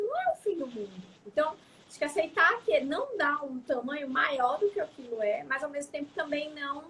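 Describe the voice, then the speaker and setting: A woman's voice: high-pitched, fairly bright tone, slight background noise, rough recording. A young adult woman, a psychologist, talking in a casual interview, reflective and often adding tag questions. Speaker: psychologist guest.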